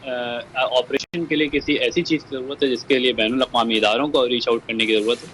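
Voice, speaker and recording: A man giving a measured account and appeal as an interviewed relative, dropping in English words. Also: medium pitch, steady tone, fairly bright tone, rough recording. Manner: code-switches into English words. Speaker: bereaved relative being interviewed. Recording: faint background noise.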